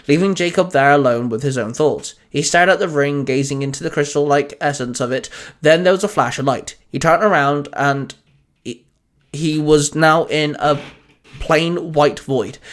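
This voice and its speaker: A man narrating an audio fan fiction story, reading as the narrator. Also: a little high.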